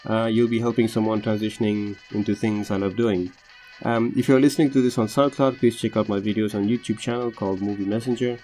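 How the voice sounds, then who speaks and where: A man whose first language is Tamil talks through a film analysis in a loose, unscripted way, full of ums, uhs and restarts. His voice sits on the low side with a dark, mellow tone. Faint music plays quietly beneath his voice. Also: steady tone, fairly quick. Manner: hesitant, many fillers and restarts. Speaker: film analysis podcast host. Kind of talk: casual unscripted movie commentary. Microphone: home recording over drawings.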